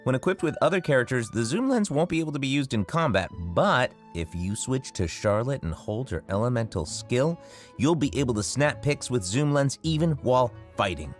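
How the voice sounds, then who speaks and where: A man's voice: mid-range voice, animated, fairly quick. A man co-presenting a video game update program from a script in a friendly, conversational manner. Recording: clear music behind the voice. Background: background music.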